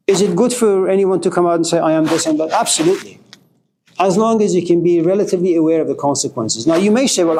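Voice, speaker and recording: A man's voice: sits high, a little mellow, low-quality recording. Middle-aged male lecturer from Iran, passionate, blunt, curses for emphasis; light background hiss. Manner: passionate, swearing, rising to emphatic outbursts. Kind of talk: informal philosophy lecture.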